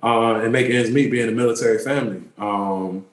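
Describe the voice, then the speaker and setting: A man in his thirties, a pro basketball player, telling relaxed, rambling stories in a casual interview. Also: mid-range voice, moderate pace, reverberant, a little hiss or hum. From born in Turkey, raised in the DC area. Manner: relaxed, rambling, many fillers.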